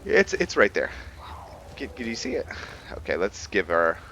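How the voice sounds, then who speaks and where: A man giving casual gameplay commentary and explaining character lore, haltingly thinking aloud as he goes. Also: on the low side, huge pitch swings, sharp tone, highs cut off, slight background noise. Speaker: gameplay host and role-player.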